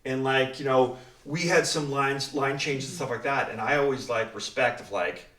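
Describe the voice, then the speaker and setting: A man's voice: medium pitch, fairly even intonation, normal speed, a bit bright, far from the mic. A man speaking as writer-director and co-host in a casual panel chat, rambling along in an encouraging way. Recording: echoey room, off mic.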